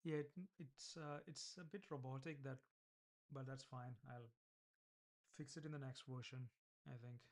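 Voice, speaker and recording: A man's voice: mid-range voice, fairly even intonation, moderate pace. Hesitant Indian-accented man thinking aloud. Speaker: vlogger building an app. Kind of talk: casual screen-recorded coding vlog.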